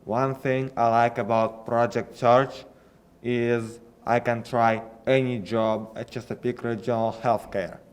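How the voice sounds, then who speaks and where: A man's voice: medium pitch, little pitch movement, a little slow, smooth. A nineteen-year-old man introducing himself as an intern, speaking carefully after a false start. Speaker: program intern. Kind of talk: prepared self-introduction.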